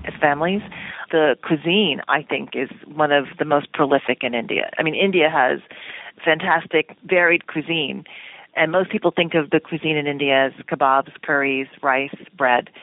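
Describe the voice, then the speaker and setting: A woman's voice: low-pitched, dry recording. A woman television producer talking casually as a radio interview guest, chatty and hedging as she thinks aloud. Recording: tinny phone line.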